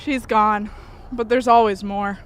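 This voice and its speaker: A woman's voice: mid-range voice, steady tone, unhurried, natural voice. A woman playing a bold, commanding young demon fighter in an audio horror drama, with theatrical delivery. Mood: weary, calm.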